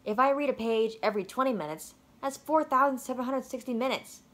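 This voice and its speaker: A woman reading a children's storybook aloud with playful, expressive narration. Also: high voice, brisk. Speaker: storybook reader.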